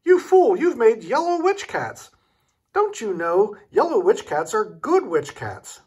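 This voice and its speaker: A fierce old storybook witch, put on by a man, screeches in a high, scornful voice. She sounds bossy and swoops up and down as she scolds. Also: sing-song, measured pace, darkish tone, breathy edge, heavily acted. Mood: furious scolding.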